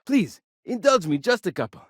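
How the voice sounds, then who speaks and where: A man voicing an eager, curious chief engineer of an aging colony starship in a video game, chatty and excitable. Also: sits high, normal speed, slightly rough, dry recording, clean studio sound, full character voice. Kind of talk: video game character dialogue.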